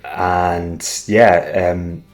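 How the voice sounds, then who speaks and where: Man, forties, deep voice, candid interview talk, guitar music behind. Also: steady tone, very slow. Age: 40s. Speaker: voice actor in an audio drama.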